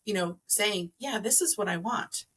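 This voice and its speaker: A woman with a slightly husky voice at a middling pitch talks as a guest expert on a podcast. She explains in a conversational way, pausing and rewording as she thinks aloud. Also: expressive, fairly bright tone. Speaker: podcast guest, energy healer. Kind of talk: conversational explaining of crystals and chakras.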